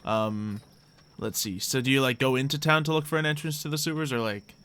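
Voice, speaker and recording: A man's voice: mid-range voice, a little fast, fairly bright tone, clear, resonant tone. A man narrating as game master in a loose, rambling way, full of fillers.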